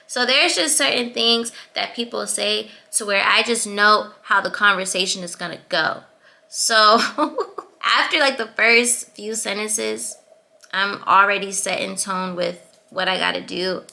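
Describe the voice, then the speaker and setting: A young woman with a sweet, high-pitched voice giving casual, assertive advice to her vlog viewers, laughing now and then. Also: creaky, bright, forceful tone. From Atlanta, US. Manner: assertive, chatty, laughs between points. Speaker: YouTuber.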